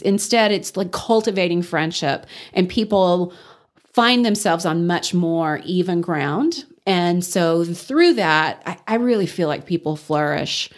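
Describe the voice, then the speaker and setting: Loud, emphatic Texas-raised woman. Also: on the low side. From Texas Panhandle. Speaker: interview guest. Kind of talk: radio interview conversation.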